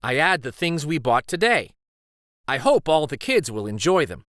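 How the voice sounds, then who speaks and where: A man reading a children's picture book aloud with expressive, deliberate storytelling delivery. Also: on the high side, no room sound, studio quality.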